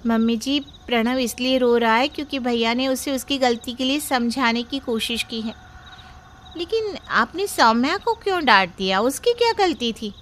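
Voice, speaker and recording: A woman voicing a young mother who calmly stands up for her daughter. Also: a little high, moderate pace, slight background noise. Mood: earnest.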